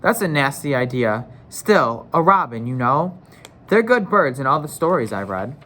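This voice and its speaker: A man's voice: medium pitch, very animated, moderate pace, pushed and bright. Man reading as an earnest older-brother schoolboy. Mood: reasoning. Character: steady, sensible older brother, a schoolboy leader.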